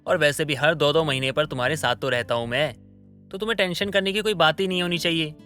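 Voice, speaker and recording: A man playing a placating, smooth-talking young husband in a story drama. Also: fairly high pitch, quick pace, bright, forceful tone, soft background music. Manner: coaxing, placating. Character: smooth-talking, two-timing young husband full of excuses. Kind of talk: Hindi moral-story audio drama acting.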